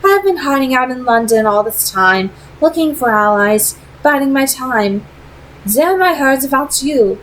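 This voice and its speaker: A proud, secretive vampire woman, played by a female reader in an audiobook-style story. Her voice sits high and she speaks in formal, deliberate, dramatic phrases, coolly persuasive, over noticeable background noise. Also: animated, a bit bright, rough recording. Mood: earnest, urgent.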